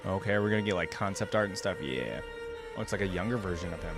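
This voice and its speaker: A man's voice: low voice, lively intonation, fast. A man hosting a game reaction video, giving casual live commentary and musing aloud in an easygoing way. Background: game music.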